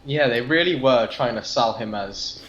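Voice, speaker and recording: A man's voice: medium pitch, normal speed, fairly bright tone. British man, echoey and muffled recording. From Britain. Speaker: podcast guest and comic book fan. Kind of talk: casual opinionated discussion.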